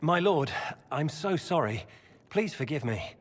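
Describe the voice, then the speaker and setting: In a big echoing hall, a frail young lord from a fantasy game. He is courteous and apologetic, with a very lively rise and fall in his voice. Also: on the high side, a little slow. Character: polite, frail young nobleman, magister's son. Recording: long echoing hall reverb.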